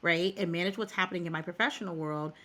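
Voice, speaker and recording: A woman's voice: fairly low pitch, fast, pushed and bright. A middle-aged woman who works as a career coach, talking as a guest in a podcast interview. She is emphatic and repeats words for stress.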